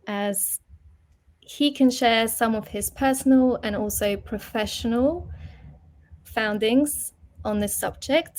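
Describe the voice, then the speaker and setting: A young adult woman hosting a podcast, introducing her guest in an unhurried, soft-spoken way with small fillers. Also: on the high side. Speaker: podcast host, personal development coach.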